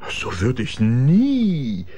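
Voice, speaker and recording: An actor playing a nervous, effusive insurance businessman in a radio drama, excitable and given to stammering and repeating himself. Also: mid-range voice, very slow, breathy edge, full character voice. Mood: indignant.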